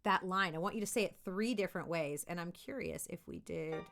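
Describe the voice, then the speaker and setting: A woman's voice: on the low side, a little fast, a bit bright. A woman giving an informal tutorial as a voice acting coach, talking directly and expressively to kids and parents in an encouraging way. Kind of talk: informal tutorial talk to camera.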